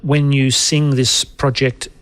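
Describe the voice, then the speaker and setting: A man's voice: mid-range voice, level tone, takes it slowly, a bit bright, no room sound. A man hosting an interview, asking friendly prompting questions into a close microphone. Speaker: radio interviewer.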